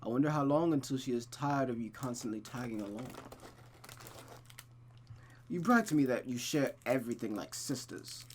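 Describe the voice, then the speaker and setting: A man's voice: mid-range voice, normal speed, long pauses, a little hiss or hum, close to an everyday voice. A man voicing a cold, controlling, condescending mother from a visual novel. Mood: scornful.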